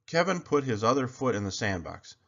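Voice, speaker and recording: A man narrating a children's picture book aloud in an expressive storytelling style. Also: medium pitch, brisk, dull audio.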